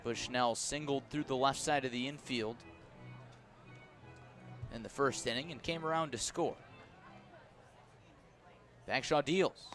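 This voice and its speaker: A man calling live baseball play-by-play for radio from an outdoor ballpark, in measured, descriptive short phrases. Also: medium pitch, fairly bright tone, soft background music. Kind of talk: live radio sports commentary.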